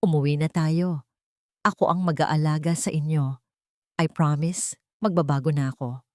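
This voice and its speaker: A woman's voice: low voice, fairly even intonation, normal speed, darkish tone, no room sound, studio quality, lightly acted. A woman voicing a manipulative husband feigning remorse in an audio drama, pleading and trembling.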